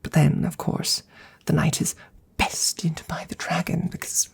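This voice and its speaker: An ancient female dragon , acted by a woman, speaks in a very breathy, husky voice that often sinks to a whisper. Her delivery is slow and low, with gravelly creak at the edges. She is courtly and wordy but faintly awkward, hesitating through her formal phrases in an intimate ASMR roleplay. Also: level tone, full character voice. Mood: amused, reminiscing. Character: courtly, awkward centuries-old female dragon in human guise. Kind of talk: soft-spoken ASMR roleplay.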